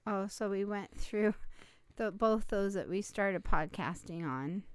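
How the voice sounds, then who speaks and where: Chatty woman with a slight rasp. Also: mid-range voice, takes it slowly, no room sound. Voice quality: slightly raspy. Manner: chatty, laughs often, rambling restarts. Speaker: podcast co-host. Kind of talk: casual chat.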